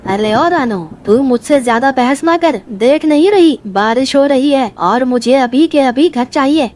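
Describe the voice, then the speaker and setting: A woman's voice: sits high, a little mellow, close to an everyday voice. A woman voicing a lazy, scheming male crow in a cartoon fable, with a taunting, bossy manner. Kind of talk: animated fable dialogue.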